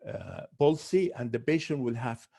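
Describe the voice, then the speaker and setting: Brief: male ENT doctor lecturing a webinar, mid-pitched, steady, methodical, with small restarts. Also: moderate pace, vocal fry. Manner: careful, listing symptoms one by one with frequent restarts. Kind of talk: medical lecture.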